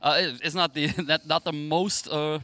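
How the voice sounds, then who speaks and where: A man's voice: fairly high pitch, steady tone, normal speed, bright, forceful tone. An Austrian-accented man, a native German speaker, giving chatty, informative live sports commentary in English. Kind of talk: live quadball match commentary, conversational.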